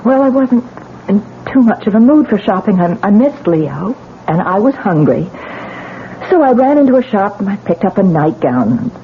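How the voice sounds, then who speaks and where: An actress playing a distraught young newlywed wife in a 1970s radio drama, stammering and defensive. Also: female voice, medium pitch, big pitch swings, moderate pace, a little hiss or hum. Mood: pleading. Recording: tinny old radio broadcast with music.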